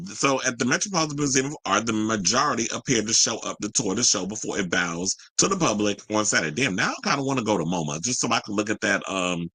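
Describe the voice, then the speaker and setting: A man with a fairly low yet bright, punchy voice hosts an entertainment news show, recorded close and dry. He moves between reading the news and casual, opinionated commentary in slangy, conversational phrasing. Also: breathy edge, no echo, poor audio. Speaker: talk show host.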